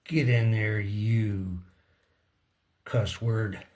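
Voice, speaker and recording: A man giving a casual hands-on repair demonstration, speaking in halting short fragments and muttering to himself as he works. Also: fairly low pitch, fairly even intonation, darkish tone. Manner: talks to himself and the object. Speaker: repair tutorial presenter.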